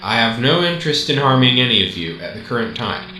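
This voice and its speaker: A man's voice: mid-range voice, sing-song, fast, lightly acted. Guy doing cold snooty robot villain, stiff formal talk, radio drama, echoey n noisy. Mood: cool menace. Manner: formal, stilted, condescending. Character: cold, haughty male robot master, menacing and calm. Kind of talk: amateur radio drama acting. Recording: roomy echo, clearly noisy home recording.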